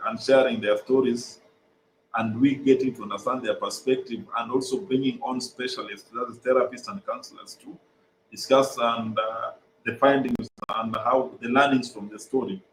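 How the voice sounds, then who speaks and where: A young Kenyan man whose first language is Swahili, earnestly explaining his organization's programs over a video call, with frequent "uh" pauses. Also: medium pitch, steady tone, roomy sound, a little hiss or hum, rough recording. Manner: earnest, many "uh" pauses, repeats phrases. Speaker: guest, mental health organization co-founder.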